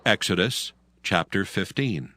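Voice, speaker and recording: A man narrating a dramatized Bible in a solemn, measured, scripted style. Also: low voice, animated. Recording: loud music under the narration. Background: loud music.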